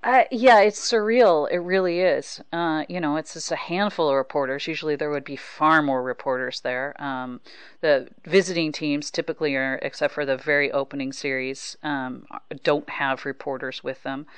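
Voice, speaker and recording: Low, clear-voiced American woman hosting sports talk. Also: steady tone, normal speed, no echo. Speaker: podcast host and sports reporter. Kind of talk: casual conversational sports talk.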